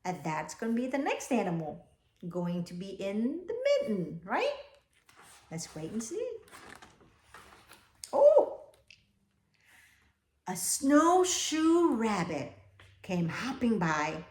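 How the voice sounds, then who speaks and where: A woman reading a children's picture book aloud expressively, often pausing to ask her young listeners questions. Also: mid-range voice, big pitch swings, darkish tone. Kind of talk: children's read-aloud, alternating slow reading with asides about the pictures. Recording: echoey, roomy sound.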